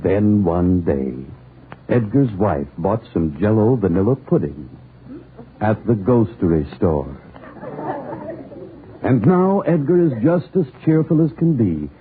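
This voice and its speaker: A man's voice: on the low side, very animated, slow, pauses often. A man announcing on 1940s radio with a polished, theatrical, emphatic storytelling delivery. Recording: tinny, hissy old radio recording.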